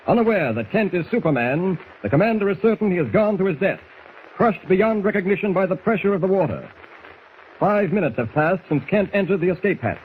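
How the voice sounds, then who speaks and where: High-voiced man narrating vintage radio serial. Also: a little hiss or hum. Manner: grave, suspenseful. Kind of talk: dramatic 1940s scripted narration. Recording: thin phone-like old radio recording.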